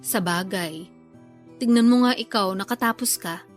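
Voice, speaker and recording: A woman's voice: mid-range voice, a little slow, soft background music, lightly acted. A woman playing a pushy, boastful, bantering mother in a radio drama, with a nagging, emphatic delivery. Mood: boastful, teasing. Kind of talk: Tagalog radio drama acting.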